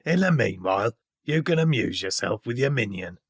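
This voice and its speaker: An actor playing a gruff, surly tavern keeper in an audio play, sneering at his guests in a gravelly voice. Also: lowish voice, expressive, moderate pace, no room sound, clean studio sound.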